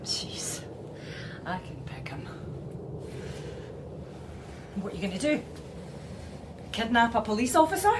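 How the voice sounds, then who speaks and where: An actress playing a bold, sharp-eyed young police detective in a radio drama, probing and teasing. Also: female voice, on the high side, sing-song, moderate pace, heavy background noise. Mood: suspicious, pointed.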